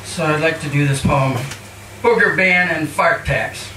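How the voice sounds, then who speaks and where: An older man reading poetry live and chatting between pieces with wry, mock-highbrow humour. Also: a little slow, bright, forceful tone. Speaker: featured poet at a reading. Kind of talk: live poetry reading with casual rambling introductions. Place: indoor cafe poetry reading. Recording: echoey room, distant mic, hissy noise.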